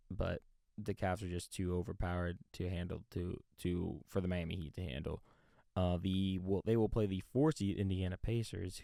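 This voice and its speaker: Male sports host, mellow and dry-recorded, halting unscripted commentary. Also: low-pitched, vocal fry, no echo. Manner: halting, rephrases mid-sentence.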